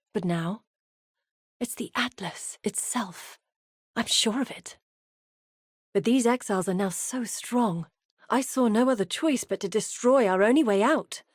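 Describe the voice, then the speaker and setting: An actress voicing an earnest, guilt-haunted woman explorer and guide in a fantasy video game, speaking in a grave, measured, confiding way. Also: medium pitch, darkish tone, no room sound, clean studio sound, natural voice. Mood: regretful. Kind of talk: scripted dramatic game dialogue.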